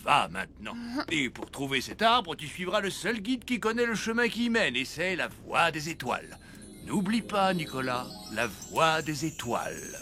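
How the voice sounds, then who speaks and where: A man's voice: a little high, sing-song, normal speed, pushed and bright, faint music behind, heavily acted. A man playing a stern but fatherly older Santa Claus in a children's cartoon, speaking solemnly and theatrically. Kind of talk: French children's cartoon dubbing.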